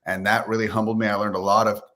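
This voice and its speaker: A man with a low voice talks as an interview guest. He is upbeat and confident, telling personal stories in loose, colloquial language. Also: flat delivery, fast talker, bright, forceful tone. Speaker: podcast guest, fitness entrepreneur. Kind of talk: conversational personal storytelling.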